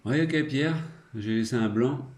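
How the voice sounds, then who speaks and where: A man's voice: medium pitch, a little slow, a little mellow. A man chatting casually as a radio operator, in a rambling, hesitant way. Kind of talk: casual radio contact chat.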